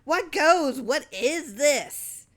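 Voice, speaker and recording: A woman voicing a small, tyrannical male film producer from a short story, blustering and indignant in loud exclamations. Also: high-pitched, expressive, very slow, pitched above the performer's own voice, full character voice. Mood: outraged.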